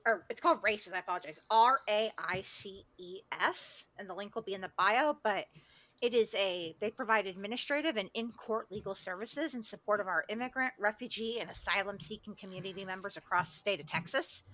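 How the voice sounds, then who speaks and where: A woman's voice: medium pitch, expressive, brisk, fairly bright tone, no room sound, rough recording. A woman talking casually as a podcast panelist. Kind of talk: casual chat.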